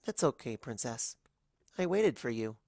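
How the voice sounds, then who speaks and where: A man's voice: mid-range voice, very animated, a little slow, vocal fry, a little mellow, dry recording, studio quality. A man voicing an easygoing young female pony student in a story reading. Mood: easygoing, friendly.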